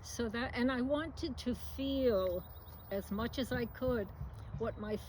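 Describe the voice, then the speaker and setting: An elderly woman from New York City, around ninety, speaking reflectively in a recorded interview, with many fillers and pauses. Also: fairly high pitch, darkish tone, a little hiss or hum, rough recording. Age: about 90. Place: at home.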